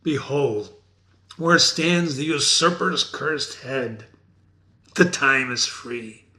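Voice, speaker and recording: A man's voice: mid-range voice, slow, pushed and bright. A man playing a triumphant, loyal nobleman, proclaiming as in a Shakespeare stage play. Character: triumphant loyal nobleman hailing a new king. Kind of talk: dramatic reading of a Shakespeare play. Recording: echoey room.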